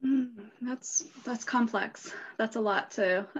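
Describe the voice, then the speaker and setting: Muffled lo-fi audio, woman, somewhat high pitch, interview host, informal, affirming, conversational. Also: takes it slowly, slightly rough. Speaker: podcast host interviewing a guest. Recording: dull, lo-fi audio.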